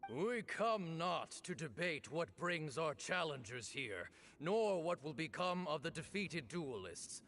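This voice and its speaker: A man's voice: fairly high pitch, very animated, slow, few pauses, fairly bright tone, full character voice. A man voicing a solemn game character who presides over ritual duels with formal, stately proclamations. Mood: solemn, commanding. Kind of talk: video game cutscene acting.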